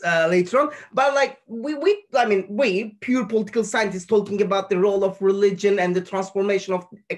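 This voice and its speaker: Guy moderating an academic panel, rambly, hunting for words. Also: high-pitched. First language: Turkish. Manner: rambling, searching for words while framing questions.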